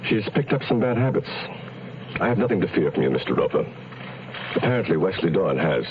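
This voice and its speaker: Radio drama part: courteous, faintly pompous lecturer, deep register, faint noise on muffled tape. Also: male voice, sing-song, a little fast. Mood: earnest. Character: smooth-talking male self-help lecturer, courteous, self-justifying. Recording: dull, lightly noisy radio recording.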